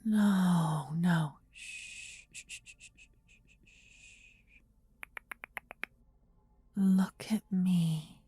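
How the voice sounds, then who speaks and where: A woman's voice: fairly low pitch, warm tone, no room sound, full character voice. A woman playing a possessive demon queen, doting yet wrathful, in a whispery ASMR fantasy roleplay with grand, theatrical phrasing. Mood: tender, worried. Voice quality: breathy, whispery.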